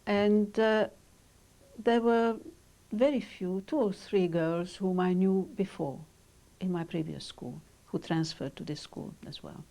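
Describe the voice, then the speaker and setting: An older woman whose first language is Hungarian, speaking in measured, reflective sentences with pauses as she is interviewed about her childhood. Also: lowish voice, dark tone. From Romania. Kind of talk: oral history interview.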